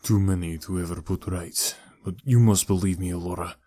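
A grave, aging uncle, acted by a male narrator, speaking low and subdued. He is weary, protective and regretful, with measured, deadpan storybook dialogue in a dry recording. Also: no room sound. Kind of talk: audiobook character dialogue.

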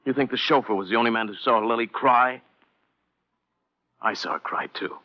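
An actor playing an intense, goading, obsessive murderer in an old-time radio crime drama. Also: male voice, medium pitch, moderate pace, natural voice. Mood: menacing, goading. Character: menacing, unhinged murderer confessing his crime. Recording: muffled vintage radio recording.

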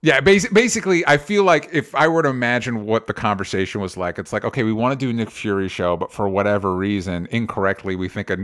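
A young man co-hosting a casual pop-culture podcast, talking in an animated, rambling way with lots of restarts. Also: mid-range voice. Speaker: podcast co-host.

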